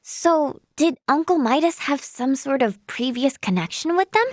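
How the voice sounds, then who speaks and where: A woman voicing a cheerful, earnest young female healer in a fantasy video game, bubbly and expressive. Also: sits high, normal speed, dry recording, clean studio sound. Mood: curious, puzzled. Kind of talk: animated fantasy game character acting.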